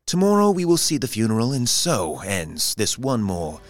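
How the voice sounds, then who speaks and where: A man's voice: mid-range voice, wildly swooping pitch, moderate pace, soft background music. A man playing a florid newspaper reporter in an audio drama, telling the story in a formal, theatrical way. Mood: intrigued, florid. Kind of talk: scripted audio drama narration.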